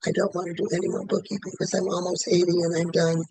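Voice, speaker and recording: A man in his late seventies, a client in a tapping session, who repeats one statement over and over in an even rhythm. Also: high-pitched, fast, creaky, no room sound. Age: 70s.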